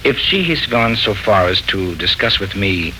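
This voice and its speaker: An actor playing a hearty but anxious suitor in a 1940s radio serial, speaking in an earnest, florid, hesitant way. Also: male voice, fairly low pitch, animated, moderate pace. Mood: anxious, hopeful. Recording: noisy, bright 1940s radio recording.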